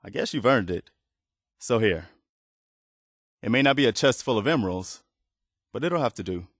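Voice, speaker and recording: A man's voice: on the low side, animated, moderate pace, a bit bright, dry recording, clean studio sound. A man playing an earnest, weary militia leader in a video game, speaking in a sincere, plain-spoken, conversational way. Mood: sincere, grateful. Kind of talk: video game dialogue acting.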